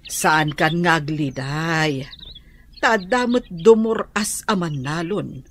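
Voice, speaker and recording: A woman's voice: low-pitched, expressive, slow pace, fairly bright tone, slight background noise, exaggerated voice. An actress in an Ilocano radio drama playing a blunt, worried aunt who scolds as she talks, with an Ilocano accent.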